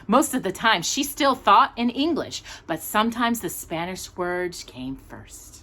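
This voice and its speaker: A woman with a slightly husky voice reads a children's picture book aloud as a storytime host, stressing key words expressively. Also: medium pitch. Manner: animated, emphatic storytelling with pauses on key words.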